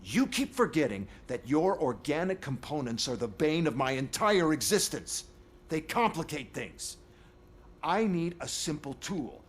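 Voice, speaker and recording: Casting: arrogant company man for a game, fairly high voice, sarcastic and condescending. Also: very animated, unhurried, husky, heavily acted. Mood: irritated, scornful. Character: smug, scornful corporate robotics executive, man. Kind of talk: video game cutscene acting.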